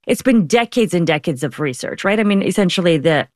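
Interview host with a medium pitch and a dry, close sound. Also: animated, brisk, no echo. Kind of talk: interview questions.

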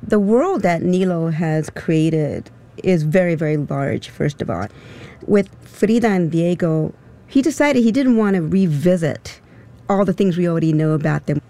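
A woman composer speaks in a warm, mellow voice during a broadcast interview. She answers articulately and reflectively. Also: fairly low pitch, animated, a little slow. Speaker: composer, guest.

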